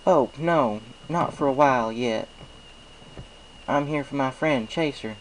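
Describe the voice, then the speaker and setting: A man's voice: medium pitch, measured pace, a little hiss or hum, voice pushed up, lightly acted, low-quality recording. A man voicing a friendly, plain-spoken female farm pony with an easygoing, folksy manner in a cartoon fan story.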